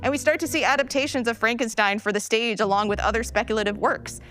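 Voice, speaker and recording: A woman's voice: fairly high pitch, fairly even intonation, quick pace, fairly bright tone, faint music behind, lightly acted. An actress playing an enthusiastic geeky woman gamer in a live audio play, explaining things emphatically with casual slang. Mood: eager, explanatory.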